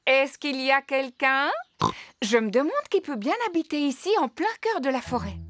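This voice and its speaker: A woman voicing a polite, gentle mother pig in a French preschool cartoon, with courteous, motherly delivery. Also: high-pitched, normal speed. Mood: friendly, curious.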